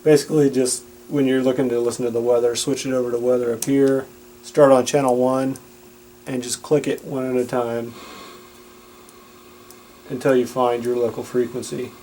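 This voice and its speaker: A man's voice: medium pitch, level tone, normal speed, dark tone, a little hiss or hum, rough recording. A man giving a casual, plain-spoken and explanatory product demonstration.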